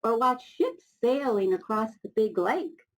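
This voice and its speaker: A woman's voice: a little high, lively intonation, measured pace. A woman voicing a curious, restless little beetle in a children's storybook, eager and questioning. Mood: dreamy, eager. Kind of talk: storybook character reading.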